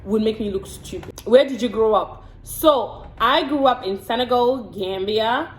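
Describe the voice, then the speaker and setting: A woman's voice: fairly high pitch, measured pace. A woman from the Congo chats frankly through a casual get-to-know-me video, reading each question aloud before answering. She often repeats a word or two while she gathers her thoughts. The room around her sounds roomy and echoey, with a faint hiss behind her. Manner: frank and chatty, repeats words while thinking. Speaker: vlogger and hair and makeup artist.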